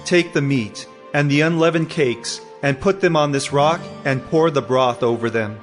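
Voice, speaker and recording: Guy playing an angel in a bible drama, calm n majestic, big echo tail, faint music. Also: mid-range voice, moderate pace, lightly acted. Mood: calm assurance. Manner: assured, commanding, formal. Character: angel of the Lord, calm, majestic male messenger. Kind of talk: dramatized scripture reading. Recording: long reverb, music behind.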